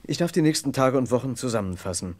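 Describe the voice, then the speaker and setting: An actor playing an earnest, gallant gentleman who narrates and investigates in a German radio crime drama, with a formal, polite manner. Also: mid-range voice, lively intonation, fast, close to an everyday voice. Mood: grave narration.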